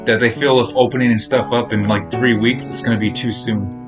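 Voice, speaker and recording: A man's voice: medium pitch, fairly even intonation, fairly quick. A man chatting casually and informally as a game streamer, meandering from thought to thought. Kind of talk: casual rambling stream chat while playing. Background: game music.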